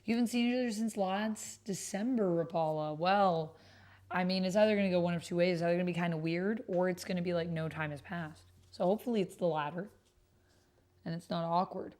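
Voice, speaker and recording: A woman's voice: on the low side, brisk, a little mellow. A woman streamer chatting casually and musing to herself as she plays a roleplay game. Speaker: streamer playing a solo tabletop roleplay game. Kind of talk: casual livestream chat, thinking aloud.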